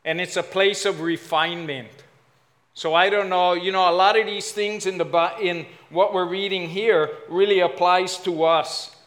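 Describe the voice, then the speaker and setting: A man from Hawaii with a Hawaiian accent, filling in as guest preacher and giving a casual, halting sermon. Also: sits high, steady tone, a bit bright. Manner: casual, self-deprecating, halting with fillers.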